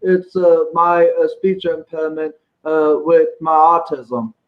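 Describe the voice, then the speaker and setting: A man who is a guest on a live stream, talking casually in a halting way, with a stutter, long pauses and repeated words. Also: on the high side, little pitch movement, narrow, tinny audio.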